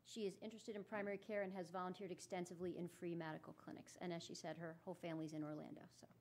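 A woman's voice: lowish voice, flat delivery, rapid-fire. A woman introducing people from notes as a presenter at a public meeting, recorded in a noisy room. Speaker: residency program presenter.

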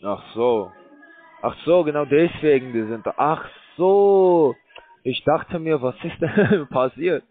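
A man calling from a call center, rambling in a bored, crude, halting way. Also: a little high, expressive. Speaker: call center scam caller. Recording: dry tinny phone line.

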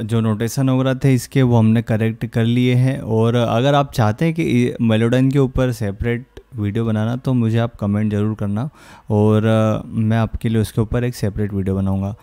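A young man hosts a casual music production tutorial in a mellow, smooth voice at a middle pitch. He explains step by step with frequent filler phrases, close on a studio mic. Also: steady tone, moderate pace. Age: young adult. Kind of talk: casual software tutorial narration. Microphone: close studio condenser microphone.

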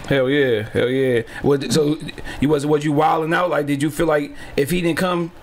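A man's voice: on the high side, steady tone, normal speed. A man in his late thirties hosting a podcast in a small home studio, talking in a laid-back, slangy way. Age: 30s. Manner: laid-back, slangy, frequent "you know what I'm saying". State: sore back and neck after car crash. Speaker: podcast host. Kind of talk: casual interview chat. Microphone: studio mic on boom, headphones.

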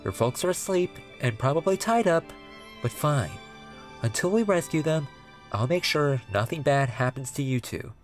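A woman voicing a confident, protective hedgehog king with a breezy manner in an animated comic dub. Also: low voice, moderate pace, faint music behind. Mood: reassuring. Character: heroic adult male hedgehog king, cocky and protective.

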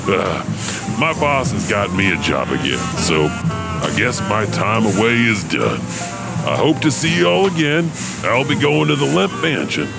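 Man as a slow, gravelly janitor horror host. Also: lowish voice, sing-song, loud music behind the voice, exaggerated voice, poor audio. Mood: wry, eerie. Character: mysterious male janitor who tells dark strange tales. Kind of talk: slow spooky storytelling.

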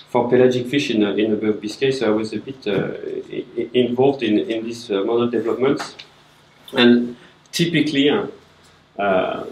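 A middle-aged man giving a relaxed classroom lecture, hesitant with many fillers, recorded by a camera at a distance. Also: mid-range voice, roomy sound, slight background noise. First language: not Norwegian. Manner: hesitant, many "uh" fillers, gestures while talking. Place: classroom with projector screen. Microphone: far camera.

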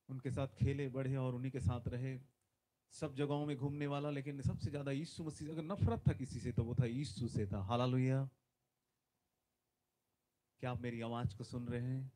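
A man in his forties, a visiting preacher giving a sermon with prayer on a large conference stage into a handheld mic, fervent and emphatic, often calling out hallelujah. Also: mid-range voice. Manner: fervent, repeats hallelujah, loud emphatic bursts. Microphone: handheld microphone.

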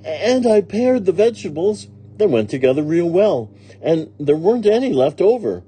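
Man putting on a folksy, high-pitched housekeeper woman. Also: big pitch swings, normal speed, warm tone, husky, exaggerated voice. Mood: cheerful, earnest. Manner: folksy, earnest, homespun phrasing. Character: cheerful, literal-minded woman housekeeper. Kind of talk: children's storybook character reading.

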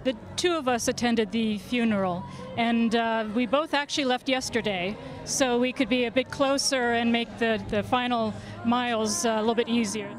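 A woman's voice: fairly high pitch, steady tone, moderate pace, hardly any pauses, over music. A middle-aged woman speaking casually in an outdoor interview into a handheld microphone. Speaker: interviewee, funeral attendee. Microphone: handheld reporter microphone.

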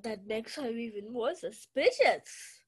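A woman's voice: on the high side, animated, slow pace, fairly bright tone, breathy edge, full character voice. A young woman playing an imperious, suspicious murder suspect in a radio mystery play. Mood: accusing.

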